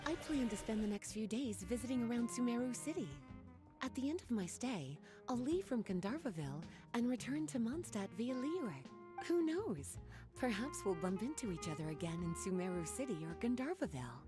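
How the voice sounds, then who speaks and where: A woman's voice: fairly high pitch, sing-song, moderate pace, over music. An actress playing a flirtatious, worldly woman librarian in a video game, speaking in a coy, leisurely and teasing manner. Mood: flirtatious, fond. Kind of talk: video game dialogue acting.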